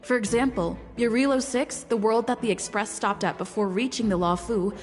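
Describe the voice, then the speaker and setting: A woman's voice: fairly high pitch, moderate pace, a little mellow, lightly acted. A woman voice actor playing an earnest young female traveler in a video game. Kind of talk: scripted video game voice acting.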